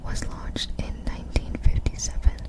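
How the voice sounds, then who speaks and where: A woman reading facts in a breathy ASMR whisper, halting and apologetic when she stumbles over words. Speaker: ASMR video creator.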